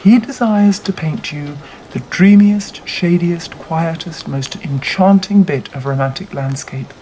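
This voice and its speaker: A narrator reading a classic novel aloud in a measured, deliberate way with dramatic phrasing. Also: medium pitch, dark tone, poor audio. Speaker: audiobook reader. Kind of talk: scripted literary narration. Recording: audible background noise.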